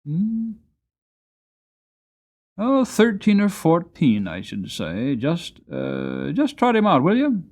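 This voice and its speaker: A man voicing a kindly, hearty old gentleman farmer, deliberate and good-humoured, in a dramatic audiobook reading. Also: high-pitched, huge pitch swings, pitched above the performer's own voice, full character voice. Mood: appraising.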